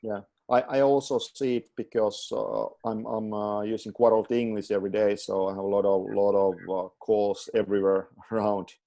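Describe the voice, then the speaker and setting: A man's voice: on the low side, flat delivery, normal speed, gravelly, few pauses, dark tone. A man with a Finnish accent talking casually on a video call, often repeating words as he searches for them in English. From Finland.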